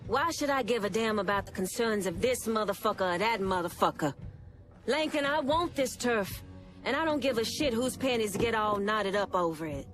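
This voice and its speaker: Defiant crime-queen woman acting, medium pitch, tough and profane, game cutscene with clear music behind. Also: lively intonation, moderate pace, lightly acted. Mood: angry, demanding. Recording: music bed under the voice.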